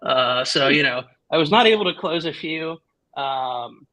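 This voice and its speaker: A man's voice: on the high side, lively intonation, a little slow, sharp tone, rough recording. A man in his thirties co-hosting a casual tech chat, rambling and padding his talk with fillers. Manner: rambling, full of "like" and "you know". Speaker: podcast co-host.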